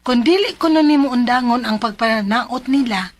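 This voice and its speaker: A concerned young woman with a Cebuano accent. Her voice is on the high side as she warns a friend in radio drama style. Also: lively intonation, normal speed.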